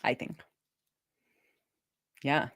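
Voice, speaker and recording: A woman's voice: extremely slow, a bit bright, no room sound. Woman, middle-aged, livestream host, casual chat, dry room sound.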